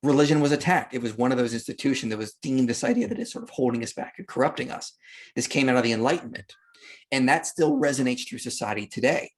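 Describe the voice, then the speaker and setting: A man's voice: medium pitch, expressive, fast talker. Emphatic, opinionated man on a podcast. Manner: emphatic, opinionated, dismissive of opposing claims. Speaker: podcast guest. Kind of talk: casual argumentative explanation of history.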